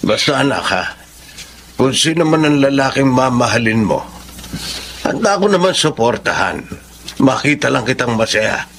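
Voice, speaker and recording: A middle-aged Filipino dad in a radio drama, blunt and protective of his daughter. His voice is gravelly and swings widely in pitch, over slight background noise. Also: sing-song, normal speed, pauses often, a bit bright. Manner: blunt, paternal. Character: protective, opinionated father of a grown daughter.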